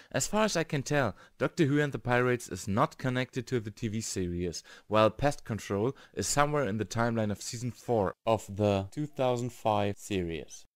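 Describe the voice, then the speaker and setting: Low-voiced man narrating a review. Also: no room sound, clean studio sound. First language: German. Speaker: reviewer and host.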